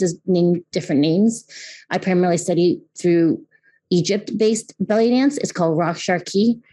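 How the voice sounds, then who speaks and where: A woman's voice: fairly low pitch, mellow. A woman in her fifties from Taiwan, hosting her podcast and talking in an earnest way that often restarts and repeats words. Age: 50s. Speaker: podcast host. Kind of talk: casual personal talk; scripted intro narration.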